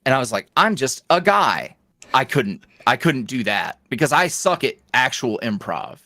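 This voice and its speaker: A man speaking as a podcast guest in a casual interview, animated and jokey, with slangy, self-deprecating talk. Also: medium pitch, moderate pace, dry recording, studio quality. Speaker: podcast guest, audio roleplay creator.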